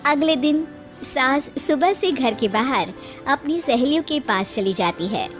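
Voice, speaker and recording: A woman giving scripted storybook narration for an animated moral tale. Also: high voice, measured pace, faint music behind.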